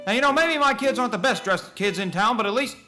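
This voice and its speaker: A man's voice: very high-pitched, lively intonation, fast, over music. A man playing a short-tempered, agitated family man under strain in a radio play. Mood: furious. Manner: urgent, agitated, stammering.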